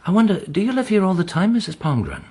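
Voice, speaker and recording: An actor playing a polite, probing police inspector in a radio drama, asking questions with courteous hesitation. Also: male voice, high-pitched, wildly swooping pitch, fast, a little mellow, husky, full character voice.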